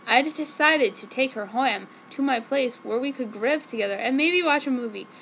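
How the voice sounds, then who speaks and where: High-voiced woman reading theatrically over noise. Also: moderate pace, vocal fry, a bit bright, low-quality recording. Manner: theatrical, reads misspellings literally. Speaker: reader of fan fiction. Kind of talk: dramatic comic reading of fan fiction.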